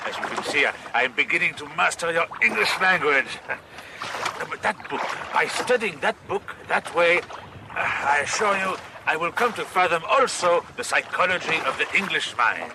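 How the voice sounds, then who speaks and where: An actor playing a jovial, proud French former master criminal in a radio drama, with a French accent and an expansive, eager manner. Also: male voice, a little high, expressive, moderate pace, noisy background. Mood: jovial pride.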